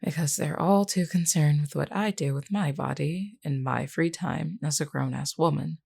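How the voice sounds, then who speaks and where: A self-assured werewolf woman, voiced by an actress in an intimate roleplay. Her voice sits very low, with heavy gravel and a dark, mellow tone. She is flirty and blunt, teasing the listener directly. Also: full character voice. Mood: frank, fed up. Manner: teasing, blunt, unhurried, uses pet name "hun". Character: flirty, defiant adult female werewolf, wounded but self-assured.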